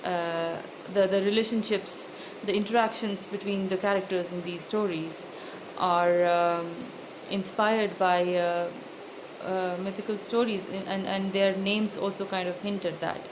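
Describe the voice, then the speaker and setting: A woman with an Indian accent giving thoughtful, articulate interview answers as an author, in a measured way with hesitant pauses. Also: medium pitch, fairly even intonation. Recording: loud background noise.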